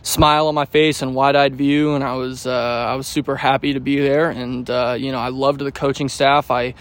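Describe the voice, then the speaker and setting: A man in his twenties, a baseball pitcher, answering pregame interview questions in a relaxed, rambling way with many fillers and restarts. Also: normal speed. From United States. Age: 20s.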